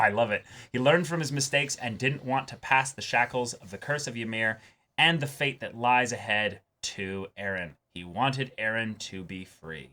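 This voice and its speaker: Male co-host for casual reaction show; medium pitch, crisp bright edge, excitable, exclaiming delivery. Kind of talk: casual reaction commentary, reading viewer comments aloud.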